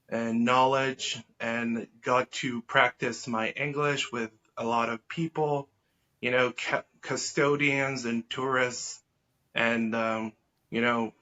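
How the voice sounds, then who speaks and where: A man's voice: mid-range voice, bright, forceful tone. A man from Israel whose native language is Hebrew, answering as a podcast guest in a wordy, formal, self-correcting way. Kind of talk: conversational interview answers. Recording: dull lo-fi sound.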